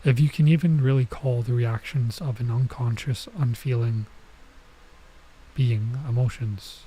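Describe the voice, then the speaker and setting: A man with a soft-edged, relaxed voice of medium pitch reads a visual novel aloud as a streamer. He slips between reading the text and commenting on it casually. Some ambient noise sits behind his voice. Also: a little slow, poor audio. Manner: stops to remark on and reread the text. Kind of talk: let's play read-aloud with casual side comments.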